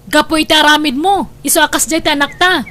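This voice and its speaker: A woman's voice: sits high, fairly even intonation, fast, pushed and bright. An actress with a Filipino accent playing a struggling, resentful wife and mother who complains wearily in a radio drama. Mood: exasperated. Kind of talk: Ilocano radio drama acting.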